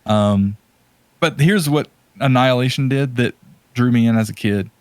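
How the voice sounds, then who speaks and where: A man with a medium-pitched voice chats casually as a podcast co-host. He is enthusiastic and tends to ramble, working out his thoughts as he goes. The sound is dry and close. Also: moderate pace, no room sound. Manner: rambling, thinking aloud, enthusiastic. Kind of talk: casual chat about video game movies.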